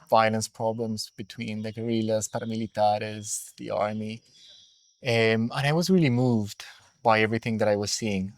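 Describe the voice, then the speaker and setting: A middle-aged man from Colombia with a Colombian Spanish accent, a school director reflecting on his life in an unhurried conversational interview with many fillers. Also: fairly low pitch, creaky, dry recording.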